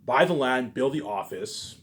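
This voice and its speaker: A man's voice: medium pitch, animated, a little slow, fairly bright tone, a little hiss or hum. A man delivering a rambling, casual monologue, repeating himself emphatically, swearing and often tacking on questions for agreement. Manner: repetitive, emphatic, swearing, frequent tag questions.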